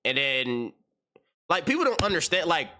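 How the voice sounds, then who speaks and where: Bright-voiced young man ranting in slang. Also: fairly high pitch, very animated, moderate pace. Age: 20s. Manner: slangy, repeats words, rambling. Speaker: reaction channel host. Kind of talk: casual reaction commentary.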